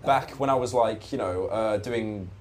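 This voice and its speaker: A man's voice: on the low side, a little slow, slight background noise. A man in his twenties, a podcast guest chatting casually in a rambling, laid-back way, with a lot of swearing. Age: 20s. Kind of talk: casual chat.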